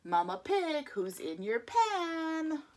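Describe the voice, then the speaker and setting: A woman preschool teacher reads a picture book to little children, drawing out every line very slowly. Her voice swoops widely in pitch, sing-song and playful, with gasps as she turns each page. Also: very animated, darkish tone. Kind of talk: reading a picture book aloud to young children.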